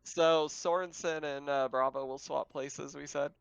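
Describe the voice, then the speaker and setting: A man's voice: fairly high pitch, steady tone, measured pace, vocal fry, crowd noise. A man speaking casually as game master, narrating and refereeing a tabletop roleplaying game, swearing freely, on a slightly muffled microphone.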